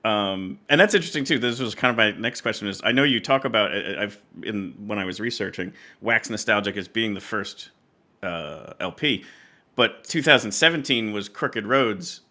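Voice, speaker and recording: A man's voice: lowish voice, moderate pace, sharp tone. A man hosting a podcast, asking questions in a casual, conversational interview style with tentative, hedging phrasing. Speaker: podcast host interviewing a musician.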